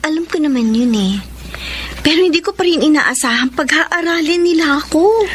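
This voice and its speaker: A woman's voice: high-pitched, expressive, moderate pace, a little hiss or hum. A woman acting a hopeful, curious young woman of humble means in a Filipino radio drama, with a Filipino accent. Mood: grateful.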